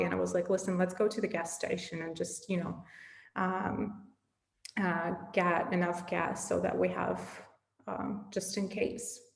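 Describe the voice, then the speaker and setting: A woman tells her story earnestly, in a voice with a gravelly edge. She is a Russian speaker talking in a casual interview. The sound carries a roomy, echoing reverb. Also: lowish voice. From southern Ukraine. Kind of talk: casual conversation.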